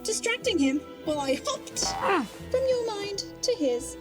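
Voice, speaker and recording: A theatrical rabbit villainess in an audio drama, a mocking trickster who revels in her own show. The voice sits high and leaps about with hammy, gloating relish. Music plays underneath. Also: big pitch swings, a little slow, heavily acted. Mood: gloating, menacing. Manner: showboating, mocking, hammy stage-host delivery.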